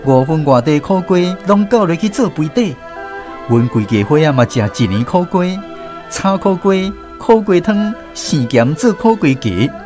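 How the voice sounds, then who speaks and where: A middle-aged man narrating a scripted first-person radio story in a folksy, expressive way, with a Taiwanese accent. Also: fairly high pitch. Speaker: drama narrator.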